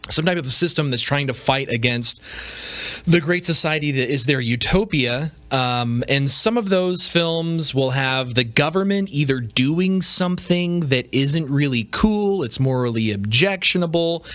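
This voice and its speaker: A man's voice: a little high, expressive, measured pace, fairly bright tone. A man hosting a podcast with casual solo commentary, talking informally and conversationally with rhetorical asides. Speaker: podcast host.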